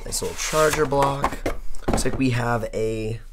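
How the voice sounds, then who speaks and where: A young man with a hoarse voice he says he has partly lost, chatting upbeat and thinking aloud as he unboxes and reviews a laptop. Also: mid-range voice, a little slow, heavy background noise. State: hoarse, losing his voice. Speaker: tech reviewer. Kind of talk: casual unboxing talk.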